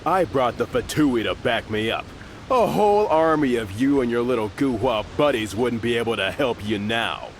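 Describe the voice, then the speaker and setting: A man's voice: mid-range voice, animated, normal speed, slight background noise, lightly acted. A man voicing a cocky, bullying henchman in a video game, sneering and taunting with mocking emphasis. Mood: threatening.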